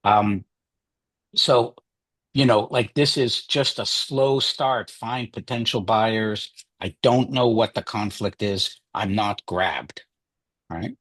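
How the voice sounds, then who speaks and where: Male workshop presenter, slightly husky, dry close sound, chatty teacher with lots of "you know" and "right?". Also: fairly low pitch, takes it slowly, a bit bright, no room sound. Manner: chatty, many fillers and restarts, asks "right?".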